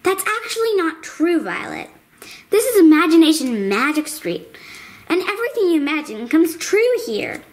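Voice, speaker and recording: A woman's voice: very high voice, very animated, normal speed. A woman voicing a bubbly, girlish, imaginative hand puppet host in a children's show, with an exaggerated singsong delivery. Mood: eager, explaining.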